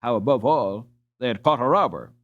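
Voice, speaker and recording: A man narrating a storybook as an audiobook reader, in a measured, expressive way. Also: mid-range voice, highs cut off.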